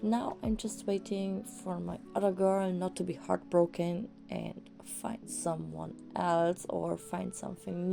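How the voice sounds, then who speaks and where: A young woman, slightly hoarse after an illness, rambling enthusiastically as she reviews manga in a casual vlog. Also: medium pitch, warm tone. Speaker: manga vlogger. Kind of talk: casual book review chat.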